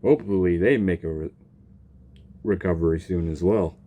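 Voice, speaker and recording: A man giving casual, informal reaction commentary as he streams a game, very expressive and reactive. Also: deep voice, moderate pace. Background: faint game music.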